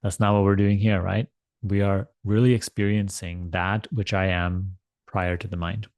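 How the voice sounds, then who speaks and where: A man teaching a guided meditation, speaking in a deliberate, measured manner with long pauses and repeated phrases. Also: sits low, flat delivery, a little mellow, no room sound. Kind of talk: guided meditation instruction, spiritual talk.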